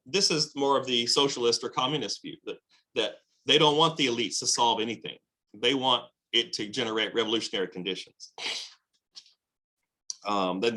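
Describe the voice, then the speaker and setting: A man lecturing on world history, with lively emphasis and frequent fillers and restarts. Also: medium pitch. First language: English. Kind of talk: recorded lecture.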